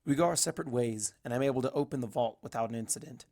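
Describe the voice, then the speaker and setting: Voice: mid-range voice, fast talker, warm tone. An amateur narrator reading a first-person fantasy adventure story aloud. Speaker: amateur fan fiction narrator.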